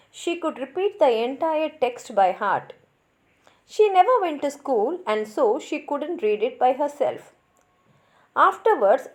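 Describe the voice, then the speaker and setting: A woman's voice: on the high side, darkish tone. A woman narrating a scripted educational summary as the presenter of a literature lesson.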